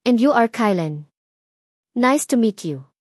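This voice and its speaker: A woman reading as a hurt young bride, voice held steady. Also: on the high side, slow pace, no echo, studio quality. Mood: strained. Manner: strained, quiet, holding voice steady. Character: hurt young bride holding back tears.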